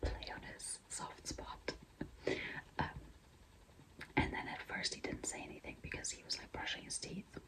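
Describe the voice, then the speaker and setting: A young woman whispering in a breathy, mostly unvoiced voice, chatting confidingly and casually to viewers as a vlogger telling a personal story. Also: normal speed, pauses often, poor audio. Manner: confiding, conversational, frequent "like" and "you know".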